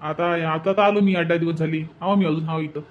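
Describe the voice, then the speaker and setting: A man's voice: a little high, fairly even intonation, a little fast, dull audio, natural voice. A man voicing a simple, obliging cartoon villager, unassuming and repetitive, with a rural Vidarbha Marathi accent. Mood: reassuring. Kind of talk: hobby cartoon dialogue.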